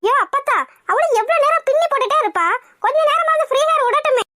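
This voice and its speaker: Exasperated young granddaughter, talking back, high voice, woman acting. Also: animated, fast, sharp tone, slight background noise, full character voice. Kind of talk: comedy skit.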